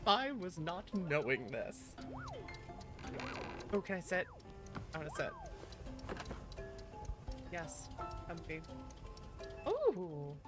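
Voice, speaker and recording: A woman streams a game with a slightly low voice and an unhurried pace. She chats casually and playfully, reacting aloud to what happens, with faint music behind her. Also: huge pitch swings. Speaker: streamer playing a video game. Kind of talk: casual gameplay chat, reading dialogue aloud.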